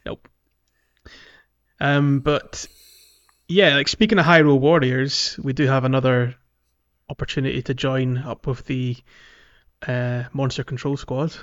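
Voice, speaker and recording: A man's voice: medium pitch, fairly even intonation, a little slow, pauses often, a bit bright. A man co-hosting a podcast, talking casually about a video game. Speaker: podcast co-host. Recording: slightly dull, lo-fi audio.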